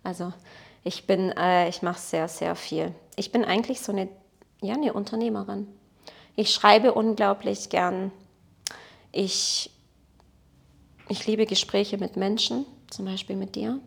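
A woman's voice: mid-range voice, fairly even intonation, unhurried, vocal fry, long pauses, husky. A woman in her thirties from Portugal, a native Portuguese speaker, chatting in a casual interview as a guest, talkative and self-mocking. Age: 30s. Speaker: podcast guest.

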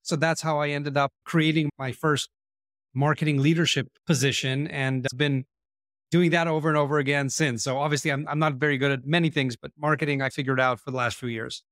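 A man's voice: a little high, steady tone, a little fast, a bit bright, no room sound, studio quality. A man in his forties with an Israeli accent, a podcast guest telling his career story in a candid, self-deprecating way. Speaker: guest, marketing executive. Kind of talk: podcast interview.

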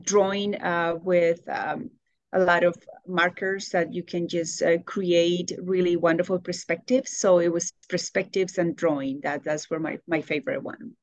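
A woman in her sixties with a lowish voice talks through her answers warmly, often pausing to find the right word. The sound is dry and close. She laughs easily as she goes. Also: fairly even intonation, dry recording. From Colombia. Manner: warm, searching for words, laughs often. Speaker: guest. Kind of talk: interview answers.

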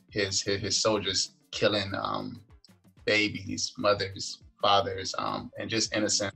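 A man with a low voice and plenty of creak speaks as a podcast guest. He answers questions haltingly, searching for his words. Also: pushed and bright, poor audio. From Liberia, moved to the United States at five. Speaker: podcast guest, events entrepreneur. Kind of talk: interview answers.

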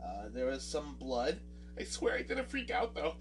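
A man's voice: on the high side, wildly swooping pitch, moderate pace, gravelly, distant mic. A man with a nasal voice and a swollen, busted lip talks casually as a solo podcast host, rambling and poking fun at himself. He sits back a little from the microphone, and faint guitar music plays underneath. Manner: rambling, self-deprecating, frequent fillers and restarts.

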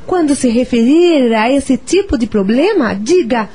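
A woman's voice: high-pitched, lively intonation, measured pace, mellow, slightly rough, heavily acted. An actress playing a frank, wounded but caring wife and mother in a radio soap opera, with a Brazilian accent. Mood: indignant.

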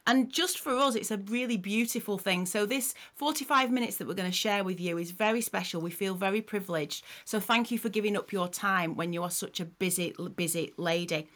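A woman's voice: medium pitch, fairly quick, few pauses, fairly bright tone. A middle-aged woman hosting a podcast, chatting in an encouraging way with small restarts. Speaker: podcast host. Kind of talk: casual podcast chat.

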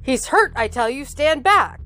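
A woman's voice: high-pitched, lively intonation, a little slow, bright, forceful tone, faint music behind, heavily acted. A woman voicing a frantic man in a violent street chase in a storybook, with urgent, exclamatory cries. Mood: urgent, warning.

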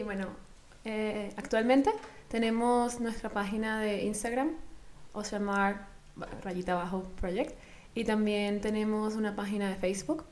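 A woman with a Venezuelan accent and a medium-pitched, rather flat and even delivery. She explains things conversationally, as a guest in an interview. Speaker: interview guest, co-founder of a volunteer project.

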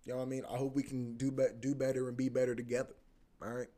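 A man's voice: mid-range voice, completely flat delivery, racing, warm tone. Laid-back slangy man in his twenties. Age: 20s. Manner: laid-back, slangy, rambling with many fillers. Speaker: podcast host. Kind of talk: casual solo podcast monologue.

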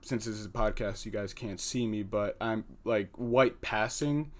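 Young American male student guest, lowish voice, earnest and halting. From United States. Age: young adult. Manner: hesitant, pauses often with fillers, earnest. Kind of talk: podcast interview answer.

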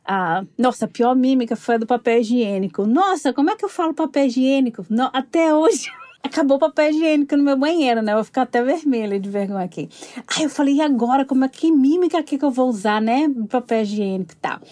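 Woman from Brazil, thirties, animated storyteller, laughs a lot, slightly high, dry. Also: fast talker, no room sound. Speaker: interviewee. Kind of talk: casual interview storytelling.

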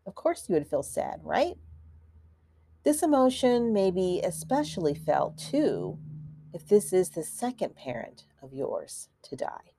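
A woman who is a counselor hosting a grief podcast, talking gently and in a measured way, a little stuffy from allergies. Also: on the low side, sing-song, a little hiss or hum. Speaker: podcast host and licensed counselor. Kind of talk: solo podcast talk.

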